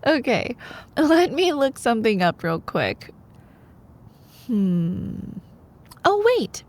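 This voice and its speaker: A woman acting as a sweet, teasing college-age girlfriend in a bedtime ASMR roleplay. Her voice is highish and very expressive, with an extremely unhurried pace. She is soft and playful, easing now and then into breathy murmurs. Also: full character voice. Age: young adult. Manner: soft, playful, giggly, slipping into breathy whispers. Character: sweet, teasing young girlfriend, a sleepy-hours college student. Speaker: ASMR voice performer.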